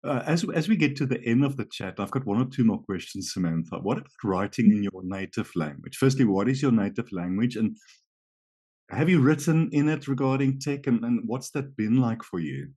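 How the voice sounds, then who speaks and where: A man's voice: medium pitch, expressive, brisk, dark tone, husky. Chatty middle-aged male interview host. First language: Afrikaans. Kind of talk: conversational interview.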